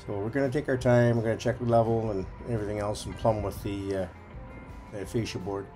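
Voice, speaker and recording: A man's voice: lowish voice, fairly even intonation, a little fast, dark tone, faint music behind. A man giving a casual, folksy running commentary as a vlog host, explaining as he goes.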